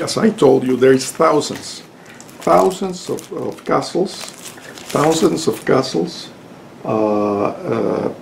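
Older Mexican-accented man, unhurried, gravelly voice, halting webcam lecture from home. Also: mid-range voice, darkish tone, a little hiss or hum, low-quality recording. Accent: Mexican Spanish. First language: Spanish. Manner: halting, many fillers and restarts. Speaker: lawyer and lecturer. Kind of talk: informal spoken lecture to camera. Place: home study with bookshelves. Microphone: webcam at desk.

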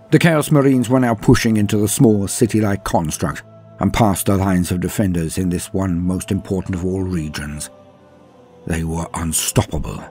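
A man narrating a dramatic scripted story in a grave, portentous manner with deliberate pauses. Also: low voice, a little mellow.

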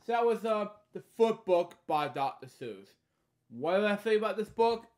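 A man in his thirties hosting a bedtime story series, reading a children's book aloud in short halting lines with casual, repetitive asides. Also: sits high, lively intonation, darkish tone. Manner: halting, repeating stock phrases between short read lines. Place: small indoor room at home.

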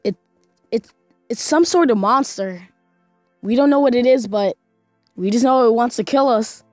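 A woman's voice: a little high, moderate pace, a little mellow, dry recording, faint music behind, natural voice. A woman voice acting a cautious, frightened spaceship survivor in an amateur game roleplay. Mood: wary, grave.

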